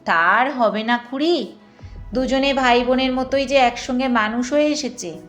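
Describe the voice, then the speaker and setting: Woman as proud matron, high and slow. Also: fairly bright tone, faint music behind. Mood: polite refusal. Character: proud middle-aged landowner's wife, politely evasive. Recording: echoing room or reverb.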